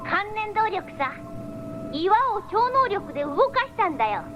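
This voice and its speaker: A woman playing a calm, commanding psychic baby boy in a 1970s radio drama, giving decisive orders. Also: very high voice, unhurried, heavily acted. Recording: dull lo-fi old radio with music.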